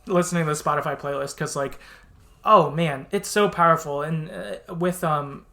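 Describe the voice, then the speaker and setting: A man co-hosting a podcast in a casual discussion, informal and often halting as he searches for words. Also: a little high, gravelly, bright, forceful tone. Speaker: podcast co-host. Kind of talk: casual video game discussion.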